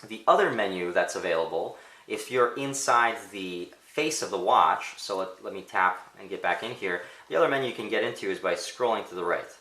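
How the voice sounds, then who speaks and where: Man, native Polish speaker, lowish bright voice, casual gadget review, conversational, thinking aloud. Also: animated, a little fast. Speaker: product reviewer. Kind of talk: casual unscripted gadget demonstration. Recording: roomy, echoing indoor sound.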